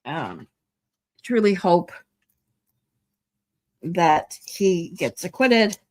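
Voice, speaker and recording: A woman's voice: on the low side, very animated, drawn-out words, a little mellow. A woman in her forties with a stuffy nose, chatting casually with viewers on a livestream, rambling with many ums and restarts. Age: 40s. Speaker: livestream host.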